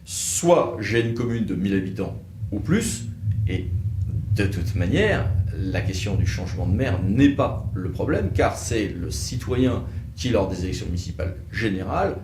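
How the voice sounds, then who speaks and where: A man giving explanatory legal commentary as an expert presenter, halting at times, with wry, sceptical asides. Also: fairly low pitch, very animated. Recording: roomy echo, faint background noise.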